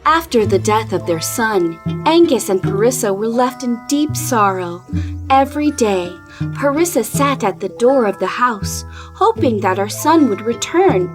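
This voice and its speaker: A woman's voice: on the high side, expressive, a little slow, music in the background. A woman giving scripted narration for a bedtime fairy tale. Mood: somber.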